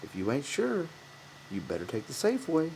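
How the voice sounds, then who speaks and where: A man voicing an old ranch hand in an audiobook, quiet, cautious and folksy. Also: medium pitch, huge pitch swings, normal speed, vocal fry, a little hiss or hum, pitched above the performer's own voice, low-quality recording. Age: older. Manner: soft-spoken, folksy. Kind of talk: audiobook character voice.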